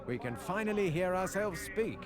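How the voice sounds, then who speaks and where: A man's voice: sits high, expressive, normal speed, music in the background. A man playing a seasoned British military officer in a World War II game, clipped and authoritative. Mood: relieved. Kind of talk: video game voice acting, WWII briefing.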